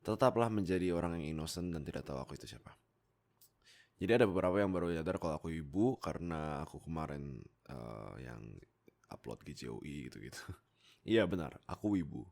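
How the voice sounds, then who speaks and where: A young man, chatting casually and playfully to viewers on a close mic, often pausing and interrupting himself. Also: rumbling low, lively intonation, darkish tone. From Indonesia. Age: young adult. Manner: playful, self-interrupting, hesitant pauses, jokey asides. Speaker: YouTube content creator and voice actor. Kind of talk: casual rambling chat to viewers. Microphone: close desktop microphone.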